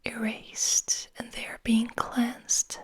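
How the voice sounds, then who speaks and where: A woman whispering breathily in a slow, soothing guided ASMR style, lingering on her words. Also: fairly high pitch, completely flat delivery, husky, no echo. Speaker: ASMR host.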